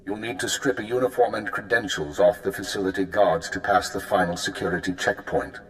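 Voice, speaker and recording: An actor playing a composed intelligence handler giving a mission briefing in a video game, formal and clipped. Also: male voice, deep voice, steady tone, a little fast, a bit bright, low-quality recording. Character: cool, precise male intelligence handler.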